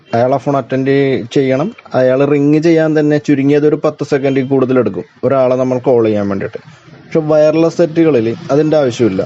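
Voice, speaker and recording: Male presenter, Malayali accent, mellow dark tone, informal explainer delivery with halting repeats, lo-fi sound. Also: medium pitch. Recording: dull lo-fi audio with clear background noise.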